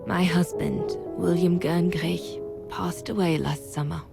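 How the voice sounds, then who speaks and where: An actress playing a grieving woman who reads out her letters in a hushed, sorrowful way for a story game. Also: lowish voice, fairly even intonation, a little slow, gravelly, over loud music. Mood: wistful. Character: grieving woman writing letters to a dear friend.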